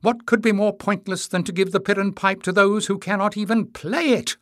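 A man voicing a proud, bitter mother in an audiobook, high-pitched, accusing and imperious. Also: very slow, bright, forceful tone, dry recording, studio quality, exaggerated voice. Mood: indignant. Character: Tyrrell, proud bitter mother, piercing and indignant. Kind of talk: acted audiobook dialogue.

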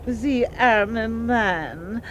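An actress playing a kindly, sentimental elderly English lady with an English accent, speaking haltingly in a radio play. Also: a little high, muffled recording, a little hiss or hum. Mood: pitying.

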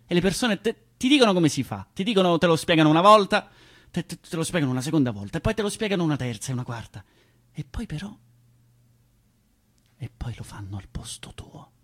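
An anxious, self-doubting man, played by a male stage actor, delivers a theatrical radio monologue in a husky, fairly high voice. He stammers and repeats his words, and his pitch swings widely as he moves between breathy whispers and loud outbursts. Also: animated, a little fast. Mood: mounting anger.

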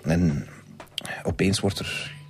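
A man playing a shaken, injured nurse in a radio drama, dazed and stammering, with a breathy Flemish-accented voice. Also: deep voice, whispered, exaggerated voice. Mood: shaken, halting. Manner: halting, stammering, repeating words. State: in pain, dazed. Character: shaken, injured male nurse giving halting testimony. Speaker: nurse, witness.

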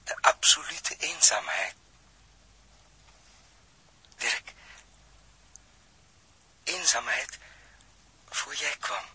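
An actor playing a grave, brooding stranded astronaut in a radio drama, speaking haltingly and intensely in a husky, breathy voice. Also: male voice, mid-range voice, lively intonation, pushed and bright, slight background noise, exaggerated voice. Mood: awed, haunted. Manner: halting, intense, pausing between phrases. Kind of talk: 1960s radio drama acting.

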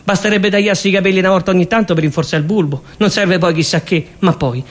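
A man's voice: high-pitched, fast. A man voicing a nagging young woman who lectures and accuses her boyfriend in a stage comedy. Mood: lecturing.

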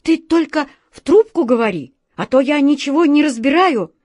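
A woman's voice: on the high side, a little slow, a little mellow, no echo, studio quality, pitched above the performer's own voice. A woman voicing a nervous office director, a grown man who pleads and stammers in panic. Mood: panicky.

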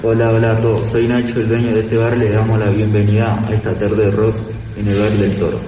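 Casting: man playing rock bar owner welcoming a crowd, mid pitch, muffled, very noisy recording. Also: completely flat delivery, brisk, natural voice. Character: bar owner announcing a rock band contest. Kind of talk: stage announcement in amateur radio drama. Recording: echoey hall, muffled, loud noise.

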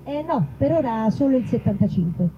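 A woman's voice: a little high, animated, a little fast, close to an everyday voice. Woman acting a polite, mildly reproachful man. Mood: apologetic. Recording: muffled live recording with noise.

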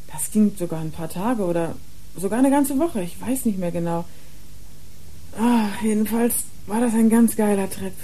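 Actress as a mellow-voiced, worn young woman confiding. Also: medium pitch, lightly acted. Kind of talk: German radio crime drama acting.

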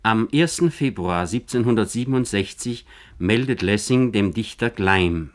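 A man narrating a scripted biographical radio feature in German in a formal, measured manner. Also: lowish voice, expressive.

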